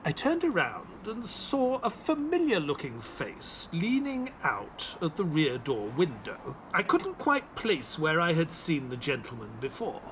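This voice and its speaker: A man playing a polite, inquisitive English doctor in an audio drama, expressive and well-mannered. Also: fairly high pitch, a little slow, few pauses, slight background noise, exaggerated voice, rough recording. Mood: reflective, storytelling. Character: polite, inquisitive English doctor narrating his detective adventures. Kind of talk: scripted first-person audio drama narration.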